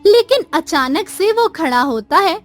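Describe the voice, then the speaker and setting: A woman narrates a scripted moral tale. Her voice is high and her pace is slow and measured. Soft music plays behind her. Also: sing-song.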